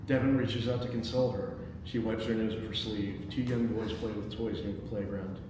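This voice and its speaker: A man's voice: lowish voice, steady tone, a little fast. Give me a man moderating in a very echoey room. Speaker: moderator of a screenplay table read. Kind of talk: informal group discussion and reading aloud stage directions. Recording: echoey, noisy room, distant microphone.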